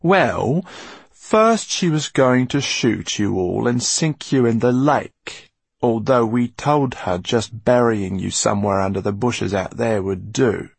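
A man voicing a menacing, gloating henchman with a soft, silky, cat-like voice in an audiobook. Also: mid-range voice, slow, creaky, husky, no room sound, voice pushed up, full character voice. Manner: mocking, gloating. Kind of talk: audiobook character acting.

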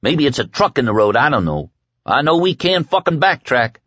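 A man's voice: mid-range voice, very animated, normal speed, a bit bright, no echo, highs cut off, voice pushed up. A man voicing a manic, swaggering young bully in an audiobook, cursing, boastful and explosively bad-tempered. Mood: seething, stubborn. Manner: screaming, cursing, boastful and patronizing.

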